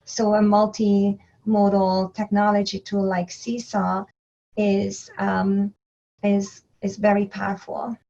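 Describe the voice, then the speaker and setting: A woman guest on an education podcast speaks in a steady, reflective way. English is not her first language. Her mid-pitched voice comes through slightly dull, lo-fi audio. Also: slow, vocal fry. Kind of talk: podcast interview.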